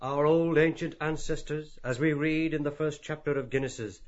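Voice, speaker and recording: A man's voice: on the high side, steady tone, normal speed, highs cut off, voice pushed up, natural voice. A man voicing a flippant, mock-pompous jester of a hanger-on in an audiobook. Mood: jesting.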